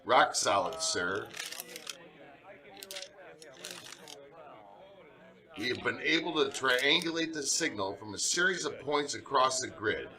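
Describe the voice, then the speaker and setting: A man's voice: mid-range voice, expressive, moderate pace, a crowd in the background, close to an everyday voice. An actor playing an earnest, deferential researcher who is sure of his data, speaking emphatically in radio drama style. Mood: grave, certain. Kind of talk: old-fashioned radio drama acting.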